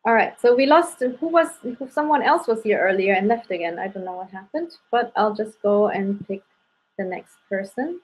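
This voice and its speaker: A woman from Germany with a German accent, hosting live spirit readings and guiding a meditation over a video call in a relaxed way, often saying "um" and "like". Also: medium pitch, normal speed, husky, low-quality recording. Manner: relaxed, many fillers like "um" and "like". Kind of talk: casual guided meditation and readings.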